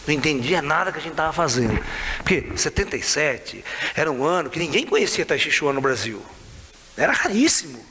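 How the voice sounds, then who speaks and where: Older Brazilian man, bright lively storytelling. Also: a little high, fairly quick, slightly rough. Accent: Brazilian Portuguese. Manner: lively, laughs often, keeps asking "né?" and "sabe?". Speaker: tai chi teacher. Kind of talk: casual storytelling to camera. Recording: reverberant room with faint hiss.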